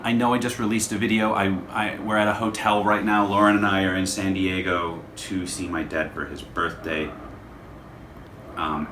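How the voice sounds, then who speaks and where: A man talking off the cuff to camera as host of his show, rambling, introspective and self-deprecating. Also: sits low. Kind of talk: casual unscripted vlog talk to camera. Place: hotel room. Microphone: camera held by another person. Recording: echoey room with faint background noise.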